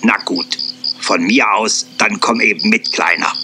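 A man voicing a big, ponderous and thoughtful old male owl in a cartoon dub, musing slowly. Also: on the low side. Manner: musing, slow and drawn out.

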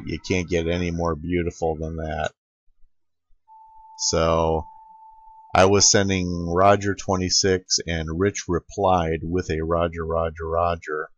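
A man's voice: deep voice, no room sound. A man narrating an informal screen-capture tutorial as an amateur radio operator, recorded close on a dull computer microphone.

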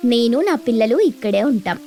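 A woman voicing a stubborn, confident mother sparrow in a cartoon story, speaking in a firm, expressive way. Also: on the high side, normal speed, soft background music. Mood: stubborn, dismissive.

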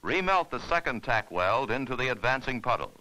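A man's voice: mid-range voice, very animated, brisk, sharp tone, rough recording. A man narrating an instructional film in a formal, scripted, step-by-step way.

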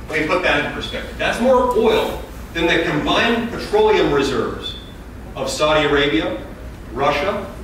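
A man in his thirties answering a town hall question, emphatic and listing points in runs, recorded from a far camera in a large hall. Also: fairly high pitch. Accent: American. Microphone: far camera, no mic seen. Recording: echoey hall from far off, hiss.

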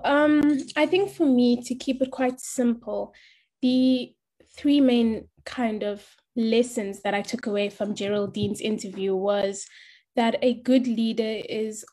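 A young woman on a video call, a panelist making structured points in a measured way. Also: a little high, dark tone. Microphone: laptop webcam mic on a video call.

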